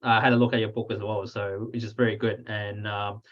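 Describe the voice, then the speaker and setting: A man's voice: lowish voice, flat delivery, a little fast. A man from Australia with an Australian accent, hosting a podcast casually, chatty with lots of "like" fillers. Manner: chatty, lots of "like" and small laughs. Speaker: podcast host. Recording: slightly dull, lo-fi audio.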